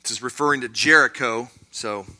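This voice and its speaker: A man teaching a Bible study class in a conversational way, with lots of fillers and quick asides. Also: medium pitch, sharp tone.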